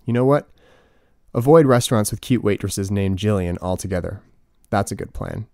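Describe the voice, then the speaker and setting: A man's voice: lowish voice, normal speed, darkish tone, no echo. A man in his twenties reading his own humorous book as scripted narration, with wry, dry comic asides. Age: 20s. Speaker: author reading own audiobook.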